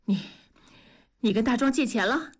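A woman voicing a proud, educated young wife who scolds her husband in a fast, shrill voice. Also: slightly rough. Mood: furious.

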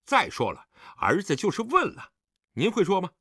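A man's voice: medium pitch, wildly swooping pitch, unhurried, pushed and bright, no room sound, studio quality, heavily acted. A man acting a drunk, defiant grown son who taunts his father insolently in an audiobook drama. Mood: bitter, sarcastic. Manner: insolent, taunting, slightly stumbling speech.

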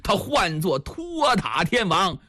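A man voicing a big, rough captured vagabond who pleads and whines in a storyteller's tale. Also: high-pitched, wildly swooping pitch, takes it slowly, pushed and bright, dry recording, studio quality, full character voice. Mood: earnest, aggrieved. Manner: pleading, whining, stammering.

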